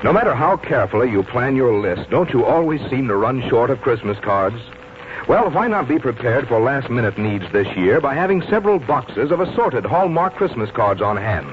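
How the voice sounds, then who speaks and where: A man's voice: mid-range voice, a little hiss or hum. A man announcing advertisements on 1940s radio in a polished, formal selling style. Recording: 1940s radio broadcast, narrow band, faint music.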